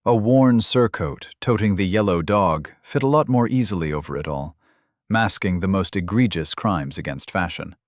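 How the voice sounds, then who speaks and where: A man narrating a fantasy audiobook in a measured way, reading out game item descriptions. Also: deep voice, no room sound, clean studio sound.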